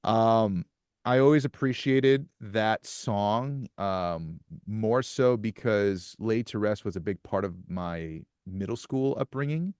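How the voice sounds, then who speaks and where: A man in his thirties, a musician guest in a casual interview, soft-spoken and halting, with frequent restarts and small chuckles. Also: lowish voice, clear, resonant tone, no room sound, studio quality. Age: 30s.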